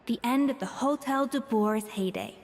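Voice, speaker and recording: A poised, proud head chef, played by a woman, fairly high in pitch and dryly matter-of-fact. She brushes ideas aside with calm certainty. Her game lines carry a long reverb tail. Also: moderate pace, soft background music, natural voice. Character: composed female head chef, proud and dry.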